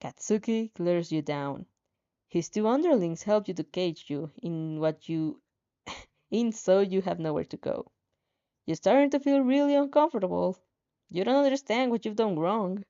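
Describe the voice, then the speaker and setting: Expressive woman narrating, mellow and lively. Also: mid-range voice, normal speed, clear, resonant tone. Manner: expressive, stumbles over words and giggles at slips. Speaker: fan fiction reader and reactor. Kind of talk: dramatic second-person story narration with informal reactions. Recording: dull lo-fi sound.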